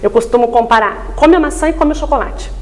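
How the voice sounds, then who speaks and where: Female expert guest for TV interview, higher-pitched, explains step by step, checks in often. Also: fast, a little mellow. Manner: didactic, frequent tag questions seeking agreement. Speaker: voice therapist guest.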